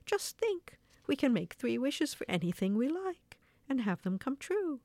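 An excitable little old woman from a storybook, as a female narrator puts her on. She is a scolding but soft-hearted wife who speaks in exclamations, at a middle pitch with very little room sound. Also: normal speed, dry recording, close to an everyday voice. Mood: delighted.

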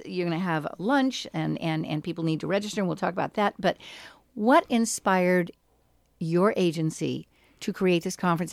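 A woman's voice: lowish voice, gravelly, dry recording. A woman hosting a radio talk show, asking encouraging questions and often repeating words as she starts her sentences.

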